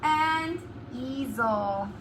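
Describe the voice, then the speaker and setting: Female preschool teacher, high and very lively, slow deliberate diction, faint background noise. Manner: enunciates words deliberately, asks the children questions. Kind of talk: animated read-aloud lesson for young children.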